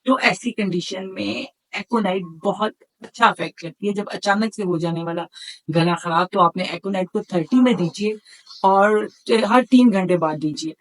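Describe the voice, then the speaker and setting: A woman's voice: medium pitch, poor audio. A middle-aged woman, speaking as a doctor and giving explanatory health advice in an instructive way, often listing and repeating her points. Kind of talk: explanatory health talk to camera.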